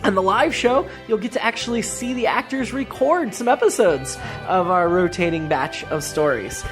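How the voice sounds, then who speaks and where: A woman's voice: mid-range voice, animated, moderate pace. Brief: female podcast host delivering a scripted show intro, music playing under the voice.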